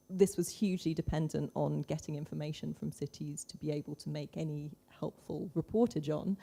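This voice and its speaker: Female conference panelist, informal delivery, low register with vocal fry. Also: mellow.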